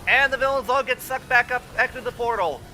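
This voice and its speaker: A man's voice: very high-pitched, brisk, bright, forceful tone, faint music behind, poor audio. A man giving casual, exclamatory live commentary as he hosts a cartoon watch-along, with the show's audio behind him. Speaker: host of a group riff watch-along. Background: cartoon episode audio.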